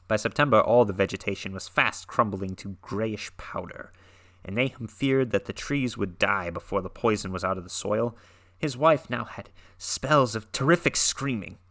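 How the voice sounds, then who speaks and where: A young man giving a dramatic reading of a horror story, swinging from hushed whispers to intense raised lines, with casual, sarcastic asides in between. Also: on the low side. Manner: casual and filler-heavy when recapping, with sarcastic asides. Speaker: reader and reviewer.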